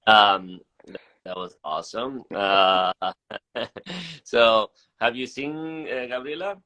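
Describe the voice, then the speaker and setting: A man's voice: lowish voice, takes it slowly, bright, forceful tone, low-quality recording. A man hosting a casual interview, often hesitating and rephrasing as he asks his questions. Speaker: interview host, festival artistic director.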